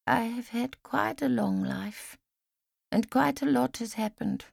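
A woman voicing a frail elderly woman who speaks formally and with gentle insistence, in an audiobook story. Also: a little high, gravelly, no echo, clean studio sound, full character voice. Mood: reminiscing. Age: older. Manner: measured, formal, gently insistent speech with few contractions.

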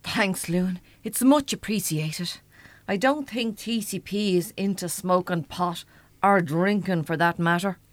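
Actress as a polite, low-voiced newcomer woman. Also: a little slow, no room sound, lightly acted. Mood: grateful. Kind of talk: comedy audio drama acting.

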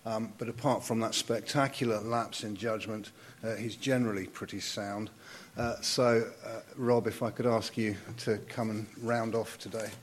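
A man's voice: fairly low pitch, fairly even intonation, normal speed. A man acting as master of ceremonies, introducing a speaker in a dry, understated, slightly hesitant way. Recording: clear background noise, live event.

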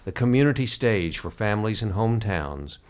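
A man hosting a reflective interview, speaking in a halting, measured way. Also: fairly low pitch, expressive. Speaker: podcast host.

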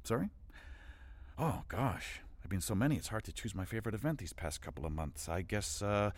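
A man's voice: lowish voice, big pitch swings, fairly quick. An actor playing a flustered, over-polite event organizer in a satirical audio drama, stammering and eager to please while hiding his exasperation. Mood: nervously agreeable.

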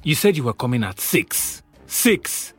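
A man's voice: mid-range voice, big pitch swings, a little slow, slightly rough, soft background music. An actor playing an irritable, put-upon young Nigerian man in an audio drama, exasperated and protesting. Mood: annoyed, exasperated.

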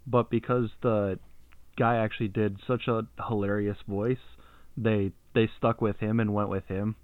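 A man on a casual podcast, talking haltingly with many fillers. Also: on the low side, level tone, clean tone, dry recording. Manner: halting, full of "uh" and "like". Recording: dry, phone-like line.

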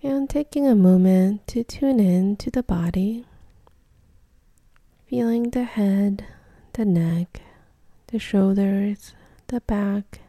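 A woman guides a meditation in a deeply relaxed, dark voice. She speaks slowly and gently, almost whispering, and leaves long pauses. The sound is close and dry, with no room around it. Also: no echo.

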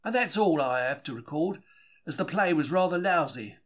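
A man's voice: on the high side, lively intonation, moderate pace. A man reading a first-person diary from a script in a conversational, confiding storytelling manner. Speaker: audiobook-style reader of a diary.

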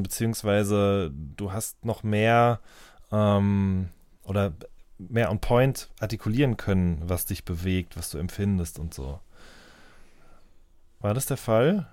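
A man's voice: on the low side, a little mellow, dry recording, clean studio sound. A man interviewing a musician, asking long, rambling questions full of fillers and restarts. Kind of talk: conversational interview.

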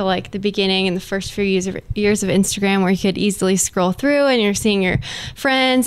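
A woman's voice: medium pitch, smooth. A young woman talking casually and chattily as a guest on a lifestyle podcast. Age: young adult. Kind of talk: casual interview chat.

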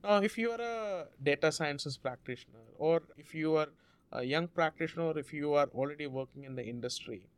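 A man from India, a Malayalam speaker, telling a story as an interview guest in an earnest, measured way. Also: fairly high pitch, clear, resonant tone, no echo. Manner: measured, earnest, drawn-out phrasing with restarts.

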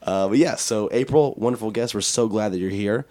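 An American man co-hosting a talk podcast in casual chat, joking and self-deprecating, with a raspy voice from a cold. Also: fairly low pitch, a little fast, dark tone, dry recording. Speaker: podcast and radio show co-host.

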